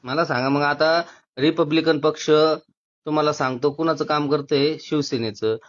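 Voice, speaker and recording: A man's voice: on the high side, steady tone, normal speed. Persistent man debating on muffled phone. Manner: persistent, challenging. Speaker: caller challenging an activist. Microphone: phone call. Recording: dull lo-fi phone call recording.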